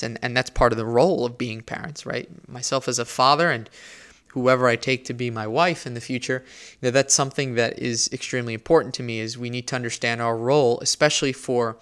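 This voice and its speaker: Earnest man with a bright, crisp voice. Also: medium pitch. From Spain. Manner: earnest, reflective, with frequent restarts and repeated words. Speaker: podcast host. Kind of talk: solo faith podcast monologue.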